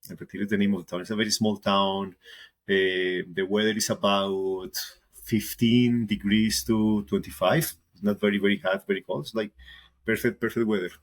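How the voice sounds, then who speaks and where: A man in his late thirties speaks English with a Colombian accent, his voice sitting low. He talks casually and haltingly, doubling words as he looks for the next one. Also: steady tone. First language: Spanish. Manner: halting, repeats words while searching for them. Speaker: guest musician. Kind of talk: casual interview talk.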